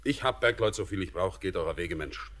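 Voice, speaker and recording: Stern mining foreman with feeling, low voice, declamatory in classic radio play. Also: expressive, fairly quick, a bit bright. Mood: gruff, dismissive. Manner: declamatory, earnest. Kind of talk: poetic German radio play acting.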